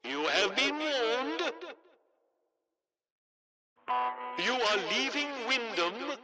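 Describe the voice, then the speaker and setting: A man playing an authoritarian military announcer in a video game, formal, clipped and politely menacing over a village loudspeaker. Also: high voice, rough recording. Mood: grim warning. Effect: village loudspeaker, radio-like PA. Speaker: public address announcer. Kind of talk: scripted loudspeaker propaganda announcements.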